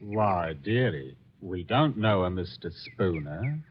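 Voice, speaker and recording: An actor playing an evasive, drawling colonial deserter in 1950s radio drama. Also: male voice, low voice, lively intonation, slow pace, husky, full character voice. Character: slow, evasive colonial deserter lying to his girl. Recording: dull lo-fi 1950s radio recording.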